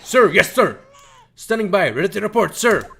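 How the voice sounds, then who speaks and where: Man putting on a high, barking army sergeant. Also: very animated, moderate pace, creaky, a bit bright, husky, full character voice. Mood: barking, zealous. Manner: clipped, emphatic military reporting. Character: zealous, barking army sergeant reporting for duty.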